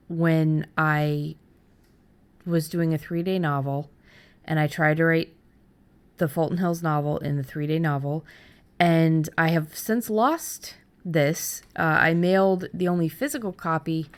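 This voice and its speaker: A middle-aged woman host talking casually and candidly about her own experience, haltingly, as if thinking aloud. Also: low voice, clean tone. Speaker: podcast host and fiction writer. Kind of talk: casual conversational storytelling.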